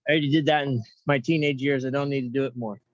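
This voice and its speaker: A young man coaching a workout class, counting reps and giving upbeat cues with joking asides in a high-pitched voice. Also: steady tone, fast talker, no echo, dull audio. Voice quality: self-described high-pitched voice. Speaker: fitness coach. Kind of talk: guiding an online workout class.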